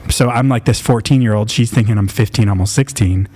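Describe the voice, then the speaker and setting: A man in his late thirties with a fairly low voice. He talks informally as a podcast host, sharing personal stories with a self-deprecating manner. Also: a little fast, a little mellow. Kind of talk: casual chat, telling personal stories.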